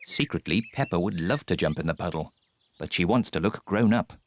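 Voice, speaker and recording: A man narrating a children's cartoon in a calm, measured storybook style. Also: low-pitched. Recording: music under narration.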